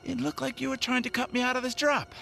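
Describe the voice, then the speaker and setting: A man's voice: extremely high pitch, level tone, quick pace, creaky, pushed and bright, soft background music, full character voice. A man playing a smug, needling crook on the take in an audio drama, with a cocky, taunting manner. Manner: taunting, mock-wounded, cocky.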